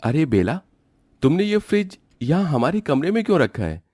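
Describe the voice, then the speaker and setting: Male actor as sensible young working husband; reproachful but reasonable, expressive, dry studio sound. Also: fairly high pitch, normal speed, no echo. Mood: earnest, pleading. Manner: earnest, reproachful.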